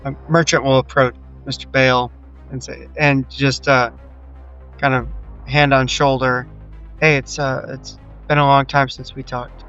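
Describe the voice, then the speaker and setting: A man's voice: mid-range voice, little pitch movement, unhurried, fairly bright tone, clean tone, lo-fi sound, soft background music. A man playing a tabletop game, casual and understated as he improvises narration and dialogue. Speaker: tabletop roleplaying player.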